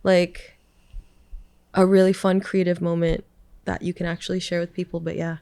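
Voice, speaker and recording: A woman's voice: lowish voice, flat delivery, moderate pace, vocal fry, clean tone, dry recording. Woman, NYC-raised, casual podcast guest; meandering, giggly, trailing delivery; dry close mic. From New York City. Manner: rambling, laughs often, trails off softly. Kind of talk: casual chat.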